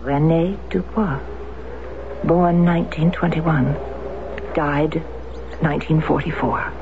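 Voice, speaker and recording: A soft, hesitant American widow, fearful and faltering. Her voice sits at a middle pitch. The recording is thin and tinny like an old broadcast, with a steady hiss. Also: slow. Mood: uneasy. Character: anxious American widow searching in France. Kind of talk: 1970s radio drama acting.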